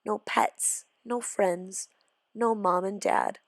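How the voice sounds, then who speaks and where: Casting: woman storybook reader, expressive, slow-paced, close dry sound. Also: mid-range voice, creaky, dry recording. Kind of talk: scripted children's story narration.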